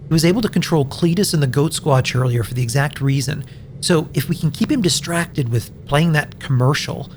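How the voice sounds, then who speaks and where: A man's voice: on the high side, darkish tone, a little hiss or hum, close to an everyday voice. A man playing a wry, scheming captive in a comic fiction podcast, talking plainly and urgently. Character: wry scheming captive forced to play Cody the Cow.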